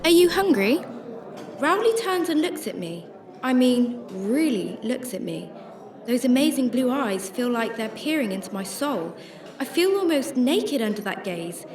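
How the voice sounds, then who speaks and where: Female actor, higher-pitched troubled shelter worker in a radio drama, animated delivery, roomy sound, music underneath. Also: unhurried, a crowd in the background. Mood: flustered. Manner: emotive, hesitant in dialogue. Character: troubled, secretive female shelter worker, married, narrating her story. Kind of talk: first-person radio drama narration and dialogue.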